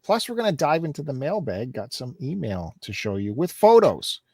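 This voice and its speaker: Animated man hosting a live chat. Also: mid-range voice, moderate pace, slightly rough, no echo, studio quality. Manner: upbeat, teasing the show ahead. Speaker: host of a collectors' live chat. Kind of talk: casual live-stream chat.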